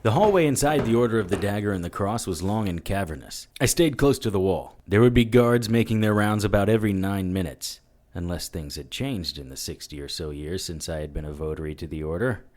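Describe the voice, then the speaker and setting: A man's voice: deep voice, brisk, darkish tone, natural voice. A man playing a world-weary, wry investigator, narrating a noir audio drama in the first person. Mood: measured. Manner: dry, matter-of-fact, wry.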